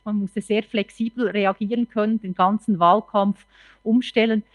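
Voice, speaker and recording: A woman's voice: mid-range voice, fairly even intonation, moderate pace, no room sound. A woman, a politician speaking spontaneously in a panel discussion.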